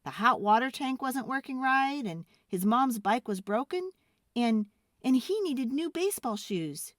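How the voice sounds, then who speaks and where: Children's read-aloud narrator: woman, highly varied intonation, expressive and deliberate, dry close recording. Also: no echo. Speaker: storyteller.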